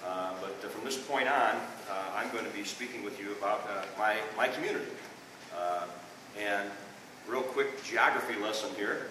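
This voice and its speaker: A man's voice: lowish voice, moderate pace, noisy background. An older man with a Midwestern accent giving a folksy classroom history talk, often checking in with "okay?", recorded far off in a school room. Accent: Midwestern American. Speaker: re-enactor and teacher. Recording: echoey room from a distance.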